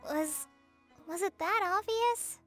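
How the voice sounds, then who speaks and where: Very high, earnest teenage girl. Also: slow, a bit bright, heavily acted. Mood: hesitant, troubled. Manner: hesitant, polite, earnest. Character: earnest, anxious, soft-hearted teenage girl ranger. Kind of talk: scripted video game voice acting. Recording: music under the voice.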